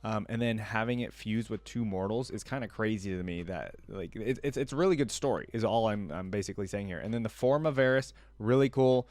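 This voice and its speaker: Man, reaction host, fairly low pitch, conversational rambling commentary, dry room-free sound. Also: fairly quick, few pauses, a little mellow, no echo. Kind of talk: casual video commentary.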